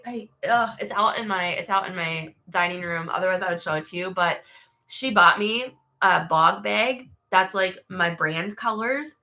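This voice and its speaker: Woman livestream host with a cold, stuffy nose, excitable and chatty, bright tone. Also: mid-range voice. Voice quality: nasal, stuffy voice. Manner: bubbly, excitable, many fillers. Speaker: livestream host selling merch. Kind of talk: casual livestream chat. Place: home studio.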